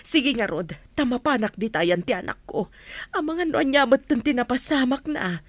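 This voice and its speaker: A woman's voice: on the high side, lively intonation, normal speed, no room sound. A woman playing a worried, caring older mother in a radio drama, anxious and emotional in delivery. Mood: concerned.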